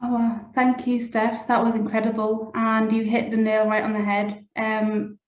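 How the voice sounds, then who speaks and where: A woman host speaks with warm enthusiasm but a very flat, even melody. Her voice comes through dull and muffled, with a roomy echo around it. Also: a little high, a little slow. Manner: enthusiastic, effusive. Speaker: event host.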